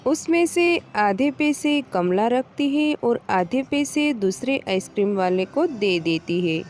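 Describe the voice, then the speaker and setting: A woman's voice: on the high side, expressive, slow pace, darkish tone, faint music behind. A woman narrating a scripted moral story for children.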